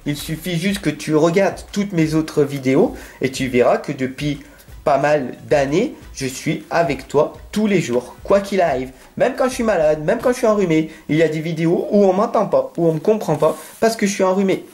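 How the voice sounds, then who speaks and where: A man's voice: a little high, expressive, moderate pace, a little mellow. A French-accented man giving a tarot card reading straight to the viewer, earnest and insistent, often checking in with "d'accord?". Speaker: tarot reader.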